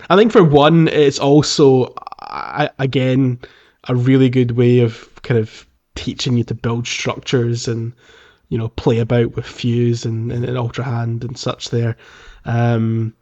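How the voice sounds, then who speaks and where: A man's voice: mid-range voice, a little mellow. Man chatting on a dull lo-fi recording. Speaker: podcast co-host. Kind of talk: casual video game podcast chat.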